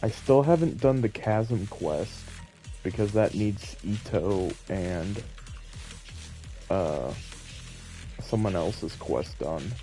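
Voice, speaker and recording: A man's voice: lowish voice, animated, takes it slowly, long pauses, warm tone. A man giving casual, rambling, self-deprecating live commentary as he streams a game, musing to viewers. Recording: game music behind voice. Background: video game music.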